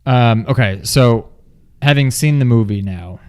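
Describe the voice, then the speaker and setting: A man co-hosting a movie review in informal, unscripted chat, often halting and trailing off mid-thought. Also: medium pitch, a little mellow. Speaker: film review co-host. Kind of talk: casual unscripted movie discussion.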